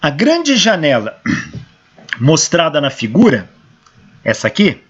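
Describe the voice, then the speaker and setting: Hoarse Brazilian professor, lively higher voice, dull lo-fi sound, talks through equations step by step. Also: male voice, slow pace. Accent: Brazilian Portuguese. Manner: explains step by step, asks and answers his own questions. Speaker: engineering professor. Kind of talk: lecture working through equations. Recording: dull lo-fi recording.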